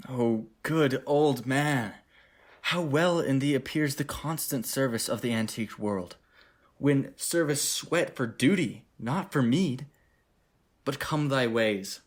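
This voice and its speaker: Man playing an earnest young gentleman, mid-pitched. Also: slow pace. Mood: grateful, resolved. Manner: earnest, declamatory. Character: young gentleman master, proud and warm-hearted. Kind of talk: Shakespearean radio play acting.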